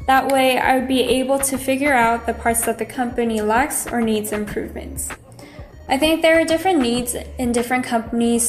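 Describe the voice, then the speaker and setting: A woman from Taiwan giving a thoughtful, practical prepared answer. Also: on the high side. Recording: echoey room.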